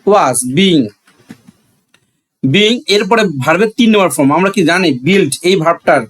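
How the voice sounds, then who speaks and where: A man's voice: sits high, lively intonation, normal speed, fairly bright tone. A man with a Bangladeshi accent teaching a grammar lesson, asking questions and answering them himself, repeating phrases for emphasis.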